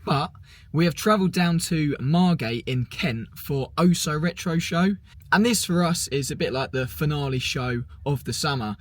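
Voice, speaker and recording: A man hosting a vlog, a bit croaky, chatting upbeat and admiring as he walks around an outdoor car show. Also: on the high side, normal speed, no echo. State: slightly croaky voice. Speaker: vlog host. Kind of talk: casual walkaround commentary. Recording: music playing over the voice. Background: background music.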